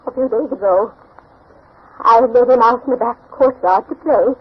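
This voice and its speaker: An actress playing a very old, superstitious grandmother, anguished and pleading, in halting 1940s radio melodrama. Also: on the high side, breathy edge. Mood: sorrowful recounting. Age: older. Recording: muffled 1940s radio recording.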